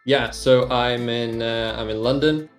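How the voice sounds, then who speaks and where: A man's voice: mid-range voice, steady tone, unhurried, echoey room, soft background music. A young man from Europe, guest on a casual podcast interview, speaking with many hesitant fillers. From Europe, half Serbian half Czech. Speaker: guest, aircraft developer.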